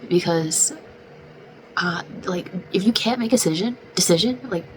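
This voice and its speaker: A woman's voice: lowish voice, moderate pace. A young woman in her twenties chatting casually in a vlog, congested and close to losing her voice, filling her talk with "like". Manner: chatty, venting, many "like" fillers. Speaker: vlogger. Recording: noisy background.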